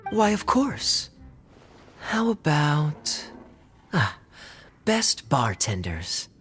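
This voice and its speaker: A man voicing a playful, smooth-talking young male knight who loves to tease. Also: fairly high pitch, huge pitch swings, very slow, fairly bright tone, exaggerated voice. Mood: sly. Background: faint background music.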